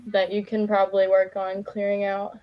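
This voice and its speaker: A woman's voice: medium pitch, completely flat delivery, moderate pace, lo-fi sound. A woman doing a casual live tarot reading as a guest, chatty and informal, feeling her way with plenty of "like". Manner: informal, chatty, hesitant phrasing with frequent "like".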